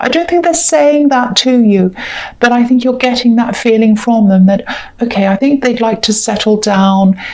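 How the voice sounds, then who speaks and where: Woman, hoarse croaky voice, fairly high pitch, hesitant musing tarot reading to camera. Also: darkish tone. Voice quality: musing and hesitant, with many ums and self-corrections. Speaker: tarot reader.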